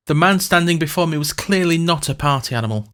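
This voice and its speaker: A man's voice: on the high side, lively intonation, fast talker, fairly bright tone, smooth. A man narrating a scripted comic fantasy podcast in a wry, sardonic, wordy manner.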